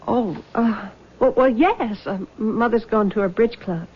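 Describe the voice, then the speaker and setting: A woman's voice: on the high side, unhurried, slightly rough. An actress playing a timid, frightened young woman in a radio drama, hesitant and faltering as she speaks to her sweetheart. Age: young adult. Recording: muffled old radio broadcast.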